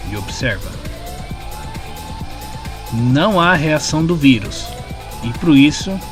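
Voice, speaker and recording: A man with a Brazilian accent reading a scripted retelling of a story as its narrator. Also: medium pitch. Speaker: narrator of comic story summaries. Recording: music clearly playing behind the voice. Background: background music.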